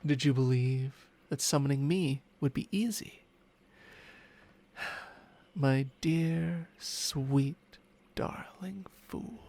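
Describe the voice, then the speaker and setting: A man's voice: fairly high pitch, vocal fry, a little mellow, slightly rough, exaggerated voice. A man voicing a smug, seductive ancient dragon in humanlike form for an ASMR roleplay, teasing and haughty in formal words, with murmurs that slip into whispers. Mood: mocking, tender. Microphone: close microphone, dry sound.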